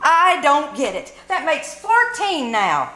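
A woman playing a sharp-tongued, scheming housewife in old-time radio comedy, with sarcastic, emphatic delivery in a live performance. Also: high-pitched, big pitch swings, slow pace, full character voice. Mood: hopeful, then peeved. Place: live stage performance at a winery. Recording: live roomy recording, faint noise.

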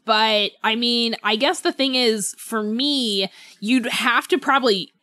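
A woman co-hosting a casual book discussion podcast, thinking aloud in a halting, conversational way. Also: a little high, sharp tone, no echo. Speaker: podcast co-host.

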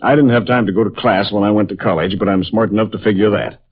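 Actor as blunt, hard-boiled football coach, tinny radio. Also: male voice, lowish voice, fairly even intonation, quick pace, a little hiss or hum, natural voice. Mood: sardonic. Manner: blunt, sardonic. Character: gruff, hard-boiled, sarcastic male college football coach. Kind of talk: 1950s radio drama acting. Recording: tinny, band-limited vintage radio recording.

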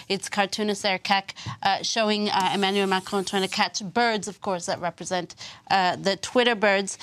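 A woman presents a live review of the newspapers on a news show. Her voice is medium in pitch, and she pauses often within her phrases as she explains the coverage. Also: fairly bright tone. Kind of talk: live press review commentary.

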